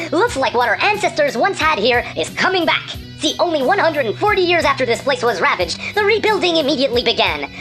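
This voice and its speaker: An excitable radio newscaster of the future acted out, with a high voice that swings up and down. The patter is breezy and flippant, a mock broadcast in a sci-fi radio play with music underneath. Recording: music behind the voice.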